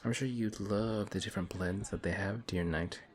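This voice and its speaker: A man voicing a suave male villain who teases a hero in an audio roleplay, slow and taunting. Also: fairly low pitch, darkish tone, close to an everyday voice. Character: smug suave male villain, teasing and calm. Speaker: voice actor.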